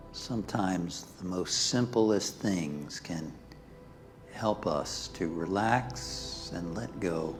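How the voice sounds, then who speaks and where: Slow, low-voiced man guiding a meditation. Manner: calm, soothing, pausing between short phrases. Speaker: minister leading a guided meditation. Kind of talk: guided meditation. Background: background music.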